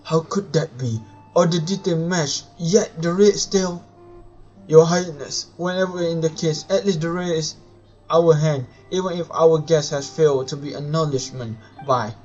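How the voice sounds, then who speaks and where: A man who is a non-native English speaker, live streaming a game and reading its dialogue aloud in a halting, stumbling way. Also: fairly high pitch, darkish tone. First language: not English. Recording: dull lo-fi mic with music behind.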